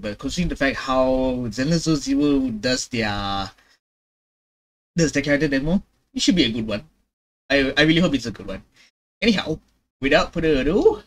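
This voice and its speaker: A man in his twenties giving casual, excitable reaction commentary full of slangy exclamations, recorded in a room at home. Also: mid-range voice. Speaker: reaction video host. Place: small indoor room at home. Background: game trailer music.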